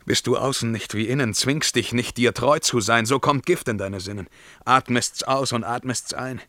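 An actor playing a tormented, world-weary emperor in a German verse radio drama, declaiming between outcries and hushed murmurs. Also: male voice, mid-range voice, normal speed, no room sound, close to an everyday voice. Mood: grave, bitter.

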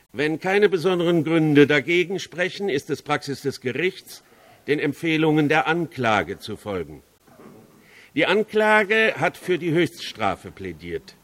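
Slow, solemn, high-voiced old judge. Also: male voice, a bit bright, exaggerated voice. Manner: formal, weighty, deliberate. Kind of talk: radio crime drama acting.

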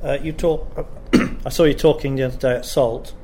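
A man's voice: mid-range voice, fairly even intonation, moderate pace, warm tone. A man interviewing a guest on the radio, supportive, often prompting and finishing her sentences. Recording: faint background noise.

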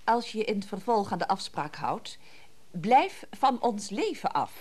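Indignant wife with wildly swooping voice. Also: female voice, medium pitch, big pitch swings, measured pace, darkish tone. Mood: threatening. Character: indignant, suspicious well-to-do wife, fussy and bossy. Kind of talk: radio play acting.

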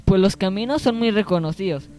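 Man playing a high-voiced, courteous young townsman. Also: fairly quick, darkish tone, faint music behind, close to an everyday voice. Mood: informative. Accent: Colombian. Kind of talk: amateur radio drama acting.

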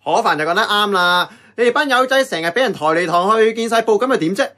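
A man's voice: sits high, animated, fast, bright, forceful tone. A man acting a brash, cynical diner worker with a Hong Kong Cantonese accent and a blunt, complaining manner. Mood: grumbling. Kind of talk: radio drama acting.

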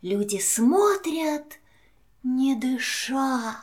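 A woman storyteller narrating a rhymed fairy tale for children in a measured way, with deliberate pauses between phrases. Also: sits high, animated.

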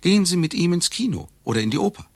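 A man's voice: sits high, sing-song, quick pace, dry recording, exaggerated voice. A man playing an earnest, absurd advice narrator in a satirical radio play, instructing with solemn emphasis. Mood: earnest instruction.

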